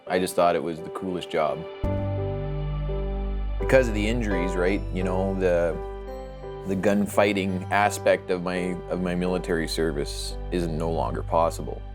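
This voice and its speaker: Very deep-voiced veteran man. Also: steady tone, normal speed, music in the background. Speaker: interviewee, military veteran. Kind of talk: documentary interview.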